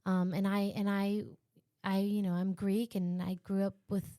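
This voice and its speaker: A woman's voice: medium pitch, flat delivery, moderate pace, dark tone, dry recording, studio quality. A woman podcast guest, from Virginia, telling her own school story casually with many fillers. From Harrisonburg, Virginia.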